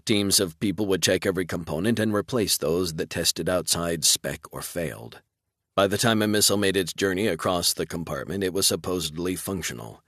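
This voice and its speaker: A man with a low voice narrates a military sci-fi audiobook. The recording is dry and close, with no room sound, and the delivery is scripted storytelling. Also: brisk, a bit bright, dry recording.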